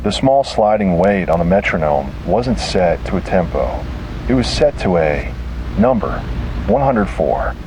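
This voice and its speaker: A man's voice: deep voice, sing-song, measured pace, background noise. A man narrating a scripted first-person detective horror story.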